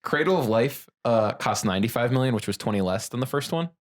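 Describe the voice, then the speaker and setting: A man's voice: medium pitch, lively intonation, fast talker. A man co-hosting a podcast, casually talking movies in a blunt way with some swearing. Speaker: podcast co-host.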